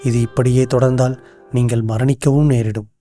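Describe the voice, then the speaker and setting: A man acting a loyal, cautious royal minister in an animated folktale, with formal, measured court speech. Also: mid-range voice, natural voice. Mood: concerned, respectful. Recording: music under the voice.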